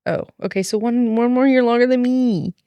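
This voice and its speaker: A woman's voice: fairly high pitch, normal speed, clear, resonant tone, no echo, muffled recording. A woman in casual, slangy chat, playful and blunt with her friends. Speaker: friend guessing in a quiz.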